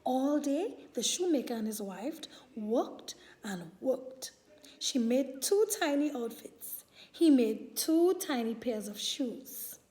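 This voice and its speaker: Slow, high-pitched woman reading a children's story. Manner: deliberate, expressive delivery for young children. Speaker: storyteller reading a picture book aloud. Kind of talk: children's read-aloud storytelling.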